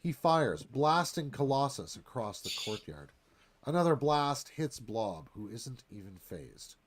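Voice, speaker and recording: A man's voice: medium pitch, big pitch swings, a little slow. A man narrating a screenplay, reading action and stage directions as dramatic scripted narration.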